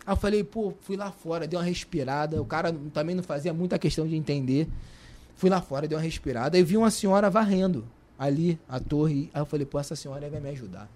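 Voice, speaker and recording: A Brazilian man with a Brazilian Portuguese accent, a guest telling his story in a lively, colloquial way, full of restarts and repetitions. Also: a little high, fast talker. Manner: animated, colloquial, repeats and restarts. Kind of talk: casual storytelling.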